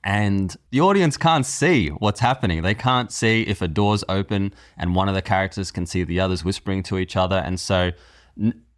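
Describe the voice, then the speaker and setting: A man's voice: low-pitched, normal speed, dry recording, studio quality. A man speaking as a podcast guest and writer, conversational, explaining things in a thoughtful way.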